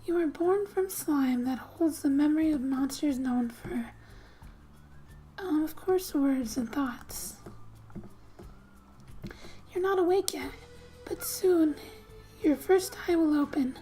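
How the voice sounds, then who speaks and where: High, hushed, soft-spoken ASMR woman. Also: soft background music. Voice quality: soft, breathy, often near a whisper. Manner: gentle, hushed, unhurried storytelling. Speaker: ASMR gamer and host. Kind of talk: soft-spoken ASMR, reading a game's story aloud with casual asides.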